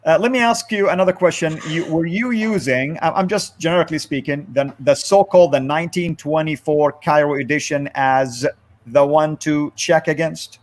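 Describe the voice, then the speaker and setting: Hesitant male live stream host. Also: a little high. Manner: halting, with many fillers and restarts. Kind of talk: moderating a live discussion.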